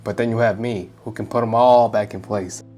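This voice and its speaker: A man's voice: fairly low pitch, steady tone, brisk, a little mellow, faint music behind. A young man giving casual, slangy gameplay commentary, playful and brash as he reacts aloud. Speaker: gamer commentating on playthrough.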